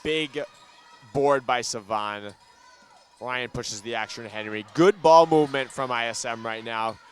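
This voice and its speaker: A man calling a school basketball game live, brisk play-by-play that gets loud on big shots, with a ball bouncing in the gym behind him. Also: medium pitch. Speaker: play-by-play commentator.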